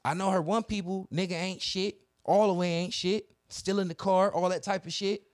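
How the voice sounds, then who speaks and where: A man's voice: sits high, steady tone, moderate pace, no echo, studio quality. A young man telling a long, profane story in casual podcast chat, acting out both sides of the arguments. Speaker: podcast co-host.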